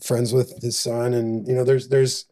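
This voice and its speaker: Man, middle-aged, from Montana, mellow dark tone, reflective testimony, halting speech. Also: medium pitch, little pitch movement. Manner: halting, reflective, many restarts. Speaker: podcast co-host. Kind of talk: personal testimony conversation.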